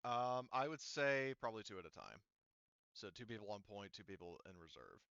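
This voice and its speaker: A low, bright male voice with a halting, think-aloud delivery, casually running a tabletop roleplaying game. The sound is close and dry, slightly dull on the top end. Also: big pitch swings, gravelly, clean tone, no echo. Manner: halting, thinking aloud while working out details. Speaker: game master.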